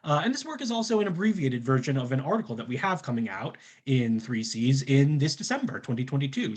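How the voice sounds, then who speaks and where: Lively-pitched man giving an academic talk. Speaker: PhD candidate, co-presenter. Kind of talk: semi-scripted academic presentation.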